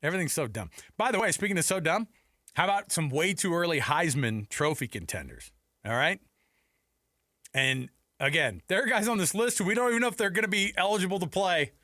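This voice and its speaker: A man's voice: high voice, animated, a little fast, sharp tone, no echo. An American man hosting sports radio, talking casually and conversationally on a close studio mic, with rhetorical questions and asides. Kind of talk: casual sports talk commentary. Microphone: close studio headset mic.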